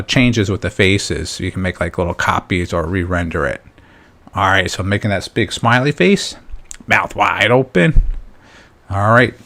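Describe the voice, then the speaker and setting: A man with a fairly low voice and plenty of vocal fry leads a drawing lesson. He talks casually, step by step, thinking aloud as he works. His manner is encouraging and informal. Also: a bit bright. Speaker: drawing instructor and host.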